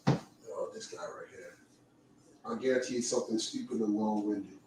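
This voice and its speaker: A man's voice: on the low side, steady tone, darkish tone, a little hiss or hum. A man who talks in loose, teasing asides, ribbing his company and drifting between topics. He sits back from the microphone, so his voice sounds distant. The room adds a heavy echo. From Caribbean. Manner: teasing, talkative, quick asides. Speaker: livestream host. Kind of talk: casual chat. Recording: echoey room, away from mic.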